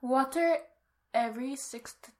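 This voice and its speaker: A woman's voice: sits high, measured pace, slight background noise. A young adult woman chatting casually as a podcast co-host in a playful, giggly way. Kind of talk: casual chat.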